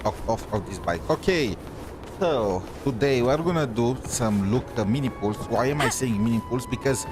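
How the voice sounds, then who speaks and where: A man hosts a casual game livestream with clear music playing behind him. His voice sits at an ordinary middle pitch. He rambles, thinks out loud and circles back to repeat his own phrases. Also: expressive, normal speed. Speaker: game streamer, host.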